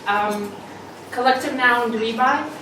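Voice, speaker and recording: A woman's voice: on the high side, takes it slowly. Chatty woman speaking in an echoey hall. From Ukraine, raised in Canada. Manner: chatty and self-deprecating, with lots of ums and laughs. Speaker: meetup speaker. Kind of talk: casual conference talk. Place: meetup room, echoey hall. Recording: echoey room, distant mic, noisy.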